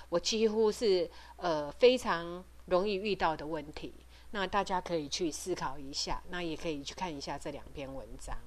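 A middle-aged woman with a Taiwanese Mandarin accent giving informal advice as a host, explaining things hesitantly with frequent pauses and trailing particles. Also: fairly low pitch. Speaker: podcast host and parent advocate. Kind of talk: informal advisory talk.

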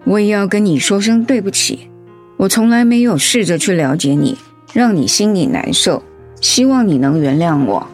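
A woman's voice: mid-range voice, lively intonation, normal speed, a little mellow, faint music behind, close to an everyday voice. A woman playing a caring, frank older-sisterly friend in a radio drama, speaking sincerely and earnestly. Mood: apologetic, sincere. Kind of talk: Mandarin radio drama acting.

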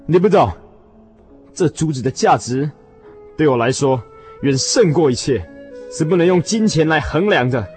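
A man plays an earnest husband obsessed with a treasure, in radio drama style. His fairly high voice swings widely from hushed reflection to fervent declaration, with music underneath. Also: expressive, normal speed, long pauses, natural voice. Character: earnest, obsessed husband yearning for a precious pearl.

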